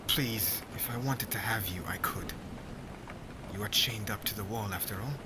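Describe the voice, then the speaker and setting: A man playing a stern, brooding witch hunter in an ASMR fantasy roleplay, menacing and deliberate, often dropping into breathy half-whispers. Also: fairly low pitch, background noise, close to an everyday voice. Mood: stern, guarded. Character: stern, brooding young male witch hunter, captor aboard ship. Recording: loud rain, thunder and sea ambience.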